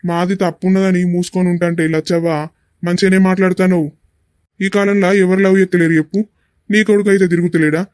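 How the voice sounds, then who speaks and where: High-voiced argumentative Telangana village man played by a man. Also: level tone, quick pace, a little mellow, natural voice. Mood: indignant. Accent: Telangana Telugu. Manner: blunt, warning. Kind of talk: village family drama acting.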